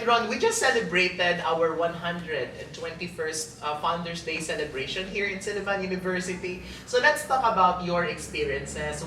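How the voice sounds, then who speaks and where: A woman, co-hosting a campus talk show in an upbeat, chatty way. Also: on the low side. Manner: upbeat, chatty, laughs often. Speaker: co-host. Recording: roomy, distant mic, faint noise.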